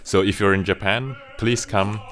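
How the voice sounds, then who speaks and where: A low-voiced man from Austria tells the story of his own work as an opera director. He speaks earnestly and appreciatively, in a calm vlog narration with faint music underneath. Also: normal speed.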